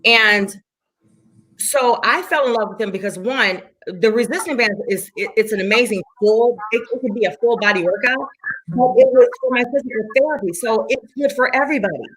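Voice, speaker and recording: A woman's voice: fairly high pitch, a little fast, a bit bright, slight background noise, rough recording. A woman in her forties, a fitness instructor, chatting enthusiastically and repeating herself for emphasis. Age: 40s. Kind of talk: casual chat.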